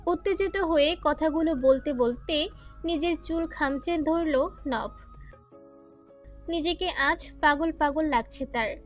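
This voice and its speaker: A woman's voice: high-pitched, slow. A woman narrator reading a scripted dramatic story. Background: faint music.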